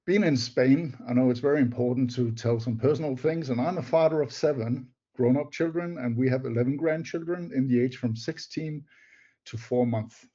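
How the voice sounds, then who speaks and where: An older Danish man gives a webinar talk, his voice mellow and warm in tone. He speaks English as a second language, measured and a little halting. The audio is dull and lo-fi, typical of an online meeting. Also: medium pitch. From Denmark. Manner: measured, slightly halting non-native phrasing. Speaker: presenter, technology advisor. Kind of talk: webinar presentation.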